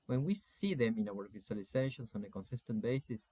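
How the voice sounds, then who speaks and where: A man in his forties from Argentina with an Argentine Spanish accent gives an informal online lecture as a coach, phrasing things in a halting, deliberate way. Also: medium pitch, animated, warm tone, no echo. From Salta, Argentina. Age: 40s. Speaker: spiritual coach teaching an online course.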